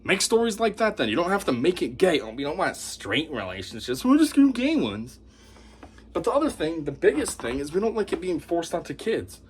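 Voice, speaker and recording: A man giving an opinionated monologue to camera as a commentator, talking in a scornful, animated way. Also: fairly high pitch, fairly quick, a little hiss or hum. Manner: animated, scornful, mocks opponents in put-on voices. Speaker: YouTube commentator.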